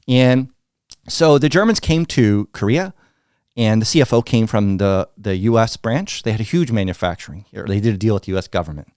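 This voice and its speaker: A middle-aged American man telling candid business stories as an interview guest, blunt and animated, acting out what others said. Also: medium pitch, dry recording, studio quality. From United States. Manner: blunt, animated, acts out quoted dialogue.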